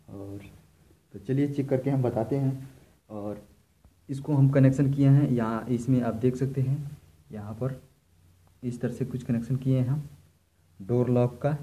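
Mellow-voiced man giving a demo. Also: medium pitch, poor audio. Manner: repetitive, step-by-step explaining. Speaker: electrician presenting a tutorial. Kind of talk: informal hands-on product demonstration. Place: indoors, filming equipment on the floor.

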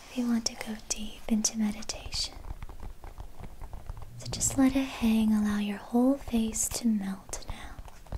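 A woman whispering a guided meditation in a slow, soothing manner. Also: mid-range voice, dark tone, rough recording.

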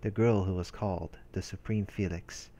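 A man reading visual novel narration aloud as a let's play host, in a measured manner with brief casual commentary. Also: low-pitched, steady tone, dark tone. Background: game music.

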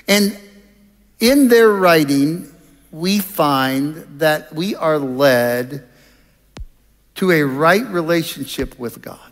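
Unhurried middle-aged male preacher in a hall. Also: a little high. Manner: measured, deliberate delivery with pauses. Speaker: preacher at a university chapel. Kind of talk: chapel sermon, explanatory. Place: large auditorium stage. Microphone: headset microphone. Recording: reverberant hall sound.

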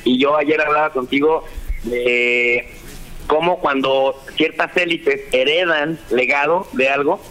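A man's voice: fairly high pitch, steady tone, a little slow, bright, forceful tone, poor audio. A man in his thirties from Mexico, a guest professor lecturing on a political show from a home office, speaking over a video call. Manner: lecturing, with frequent "eh" fillers. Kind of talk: political talk show discussion.

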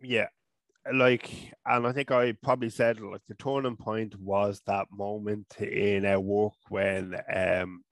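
Irish man, thirties, stutters through restarts; low, gravelly, dry headset sound, casual interview guest. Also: level tone, slow pace, no echo. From Ireland. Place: small room at home. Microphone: headset mic.

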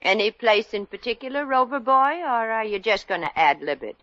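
A nosy, wisecracking dame in a vintage radio comedy. She is sardonic and brisk, with a highish, animated voice on a muffled old recording. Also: no room sound. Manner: dry wisecracks. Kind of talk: 1940s radio comedy acting.

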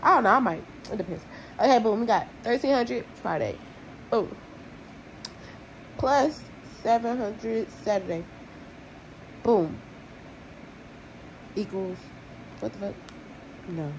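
A woman's voice: medium pitch, expressive, takes it slowly, long pauses, slight background noise, poor audio. A young woman, chatting casually and bluntly to her vlog audience while tallying money aloud. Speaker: vlogger. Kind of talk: casual vlog talk to camera.

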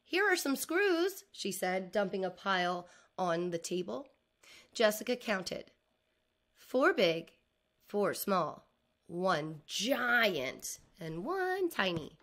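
A middle-aged woman reading a children's picture book aloud like a teacher, expressively and with deliberate enunciation. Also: mid-range voice. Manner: deliberate enunciation, animated for young listeners. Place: indoors, video recording.

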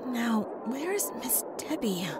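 A woman voicing an emotional young woman with a dramatic, expressive delivery in a comic dub. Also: on the high side, slow, a loud crowd, very noisy. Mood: anxious. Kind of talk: comic dub character acting. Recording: loud music underneath.

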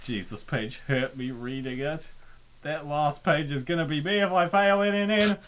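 A man hosting a comic dub, giving joking, theatrical and excitable commentary and reading comments aloud. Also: on the high side, normal speed, noisy background.

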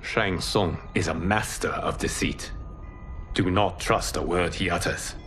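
An actor playing a calm, wise protector god in a video game cutscene, speaking in a measured, authoritative way. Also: male voice, sits low, slight background noise. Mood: commanding. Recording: game audio with loud score.

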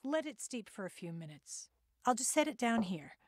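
A woman's voice: on the high side, big pitch swings, normal speed. An actress playing a patient, caring wife and mother in an audio drama. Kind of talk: radio drama acting.

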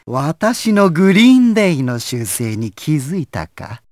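An actor playing a sadistic, deranged surgeon villain in a video game, theatrical, moving between silky menace and manic screaming. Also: male voice, high voice, sing-song, unhurried, fairly bright tone, no room sound, clean studio sound, heavily acted. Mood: amused, sinister. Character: sadistic, deranged male villain surgeon, gleefully obsessed with despair. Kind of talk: Japanese video game voice acting.

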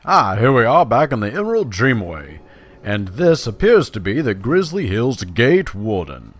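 A man's voice: medium pitch, sing-song, unhurried. A man giving casual, playful gameplay commentary in a chatty, slangy way, sometimes reading quest text aloud. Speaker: video game player and commentator.

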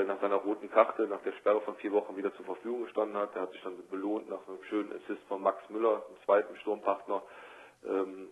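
Male football coach, interviewed by phone. Low, flat delivery, measured and hesitant, tinny line. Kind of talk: phone interview, spontaneous sports talk. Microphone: telephone. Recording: tinny, band-limited telephone line.

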